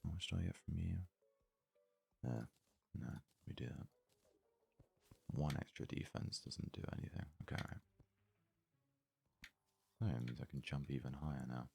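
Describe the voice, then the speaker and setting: A man giving casual ASMR let's play commentary in a very soft, hushed, breathy voice, murmuring his thoughts aloud without hurry. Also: very deep voice, soft background music. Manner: unhurried, murmured thinking aloud. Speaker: gaming video host.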